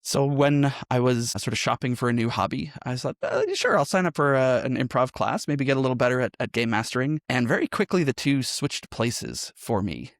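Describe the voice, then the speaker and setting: Man, medium pitch, dry recording, conversational interview, reflective explainer, podcast guest. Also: animated, fairly quick, hardly any pauses, no echo. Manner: reflective, explains with frequent "right?" check-ins. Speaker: podcast guest, improv teacher and game master.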